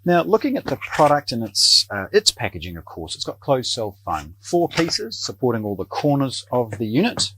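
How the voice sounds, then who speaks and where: A middle-aged man presenting an unscripted product unboxing in a measured, explanatory way. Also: medium pitch, very animated, fairly bright tone, a little hiss or hum, rough recording. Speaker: presenter of an audio shop's product videos. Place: small indoor room lined with shelves.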